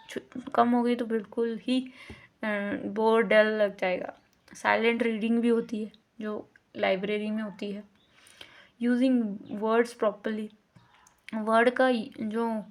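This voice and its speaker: A woman with an Indian accent giving a slow, deliberate lecture, mixing English terms into her talk and repeating words to show how they are pronounced. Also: a little high. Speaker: teacher. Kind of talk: lecture explaining a course unit.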